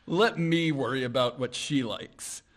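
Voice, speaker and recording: A man's voice: mid-range voice, measured pace, lightly acted. A man playing a smug rich rival suitor in a radio play, speaking with veiled menace.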